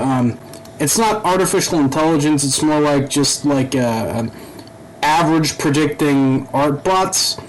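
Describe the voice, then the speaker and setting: Young male gaming commentator, casual and rambling, mid-pitched, faint background hiss. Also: poor audio. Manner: rambling, halting, profane asides. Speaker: gaming YouTuber. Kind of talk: casual let's-play commentary.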